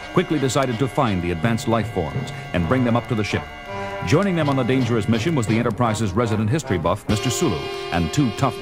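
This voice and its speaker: A man's voice: on the low side, lightly acted. A man delivering dramatic scripted narration for a 1970s audio drama. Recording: music behind the narration.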